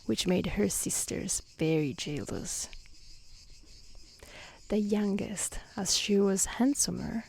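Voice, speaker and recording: A woman with an Italian accent speaking softly and calmly in an ASMR style, choosing her words carefully as a non-native speaker, outdoors. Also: medium pitch, creaky, slightly rough. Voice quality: soft, gentle voice. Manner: calm, careful delivery in non-native speech. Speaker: streamer and storyteller. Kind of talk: soft-spoken ASMR reading and chat. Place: outdoors in nature near mountains.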